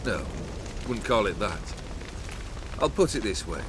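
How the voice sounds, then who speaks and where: Cynical, lordly immortal nobleman, lively intonation. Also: on the low side, unhurried, background noise. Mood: wry, world-weary. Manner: archaic, sardonic, lordly phrasing. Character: cold, cynical immortal nobleman, arrogant and world-weary. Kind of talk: video game character acting.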